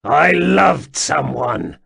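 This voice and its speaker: A scornful, hardened warrior with a rough, gravelly grain to his voice, speaking slowly and snapping out his words, as a male narrator plays him. His lines rise and fall widely rather than sitting flat. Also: mid-range voice, full character voice. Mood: bitter. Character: bitter, hardened older warrior man, curt and scornful.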